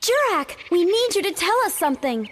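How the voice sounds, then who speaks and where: High-voiced, earnest young heroine. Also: female voice, very animated, brisk, a bit bright, a little hiss or hum, exaggerated voice. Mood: earnest, urgent. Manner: pleading, urgent. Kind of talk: video game cutscene voice acting. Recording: long hall-like reverb.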